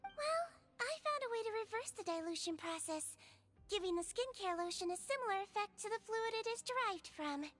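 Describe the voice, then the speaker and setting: A woman voicing a small, clever, composed girl healer in a video game, speaking in a measured, gently firm, explanatory way. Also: extremely high pitch, animated, soft background music. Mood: calm, clever. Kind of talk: scripted video game dialogue.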